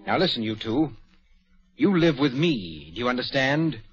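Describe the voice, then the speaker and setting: A man's voice: mid-range voice, very animated, moderate pace, over loud music, natural voice. A man playing a scheming young manservant. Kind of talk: 1940s radio acting. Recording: dull lo-fi old radio broadcast.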